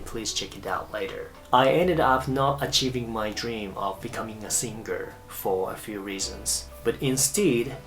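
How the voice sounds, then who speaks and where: A man in his thirties from Japan, an English coach narrating his own vlog in careful, measured English. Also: lowish voice, fairly bright tone.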